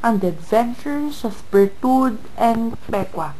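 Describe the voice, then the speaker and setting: A woman's voice: a little high, lively intonation, slow pace, warm tone, slight background noise, low-quality recording. A woman with a Filipino accent reading scripted narration for a radio drama.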